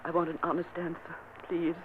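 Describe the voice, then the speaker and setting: A woman's voice: lowish voice, completely flat delivery, fairly quick, close to an everyday voice. An actress playing a dying, secretive noblewoman who sounds weak yet defiant, in vintage radio drama style. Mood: frail. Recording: tinny old radio recording with hiss.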